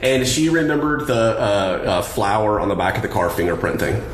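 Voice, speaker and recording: A man's voice: fairly low pitch, normal speed, a crowd in the background. A middle-aged man co-hosting a podcast in casual chat, with rambling, stop-start phrasing. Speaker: podcast co-host. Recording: roomy, clearly noisy home podcast recording.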